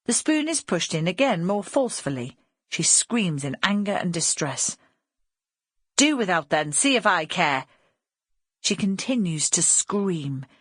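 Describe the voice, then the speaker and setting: Female audiobook narrator, lowish voice with some huskiness, literary storytelling, very dry studio sound. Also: husky, no echo.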